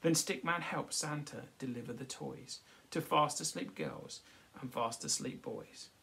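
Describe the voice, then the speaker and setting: A man reads a children's picture book aloud at home. His voice is lively and varied, with a gravelly creak. He often breaks off to explain things simply and check in with his listeners. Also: medium pitch, measured pace. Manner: frequently checks with "okay", explanatory. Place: small furnished room at home. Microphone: device camera at about arm's length.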